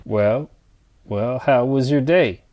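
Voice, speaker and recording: A man acts out a weary, middle-aged working man in an audio drama. He speaks slowly at an average male pitch, plain and grumbling, stuck in his ways. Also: a little mellow, lightly acted. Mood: tentative, placating.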